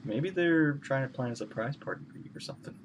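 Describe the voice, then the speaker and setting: Quick, animated male game streamer. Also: mid-range voice, a little hiss or hum. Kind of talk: offhand gameplay commentary, reading choices.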